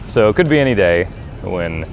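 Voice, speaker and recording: A man improvising as a very old, wistful New Yorker, rambling haltingly and earnestly in a live comedy monologue. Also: low-pitched, sing-song, slight background noise. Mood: wistful, resigned. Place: live convention stage.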